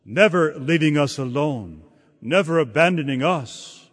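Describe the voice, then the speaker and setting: A man preaching a sermon in a slow, halting delivery, often repeating words as he searches for the next phrase. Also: on the high side, lively intonation. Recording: long hall reverb.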